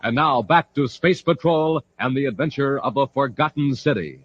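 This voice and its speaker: A male announcer narrating and pitching in a 1950s radio style. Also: normal speed. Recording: muffled, slightly noisy old radio recording.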